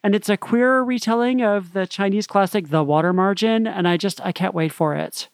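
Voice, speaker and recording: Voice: medium pitch, moderate pace, smooth, no room sound. A podcast co-host chatting casually and enthusiastically about books in loose, conversational phrasing. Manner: enthusiastic, gushing; conversational, peppered with "like". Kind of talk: casual book recommendation chat.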